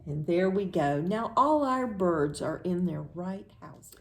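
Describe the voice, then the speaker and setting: An American woman leads a storytime for young children. Her pitch moves widely in a singsong read-aloud style, and she takes her time. She poses simple questions, leaves room for an answer, and then affirms it. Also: animated, slow. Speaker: children's librarian, storytime host.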